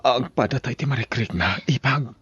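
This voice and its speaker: A man's voice: medium pitch, moderate pace, a bit bright, highs cut off, a little hiss or hum, close to an everyday voice. An actor with an Ilocano Filipino accent playing a married man secretly in love with his sister-in-law in an audio drama. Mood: hesitant, confessing.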